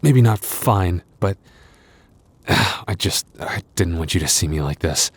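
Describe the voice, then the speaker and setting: Wounded male werewolf boyfriend, played by a man, boyfriend ASMR. Low-pitched, unhurried, exhausted, halting and tenderly reassuring. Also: animated, husky, full character voice. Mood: pained, apologetic. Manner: halting, sighing, intimate delivery. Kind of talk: boyfriend ASMR audio roleplay.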